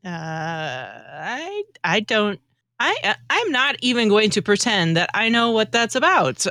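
A woman's voice: mid-range voice, very animated, takes it slowly, pushed and bright, no room sound, studio quality. A woman co-hosting a podcast, switching between light scripted skits and casual banter. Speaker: podcast co-host.

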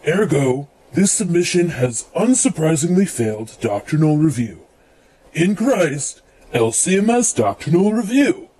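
A man's voice: fairly high pitch, sing-song, measured pace, warm tone. A man playing an overly eager, irreverent worship leader in a comedic radio sketch, reading letters aloud with exasperated asides. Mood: eager, deflated. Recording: clearly noisy background ambience.

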